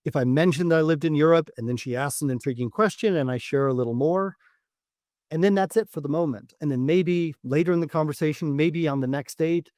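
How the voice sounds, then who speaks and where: A man speaking as a dating coach and podcast host, giving instructional advice in deliberate, measured phrasing with repeated words. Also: fairly high pitch, darkish tone, no room sound, clean studio sound. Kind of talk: instructional podcast monologue to camera.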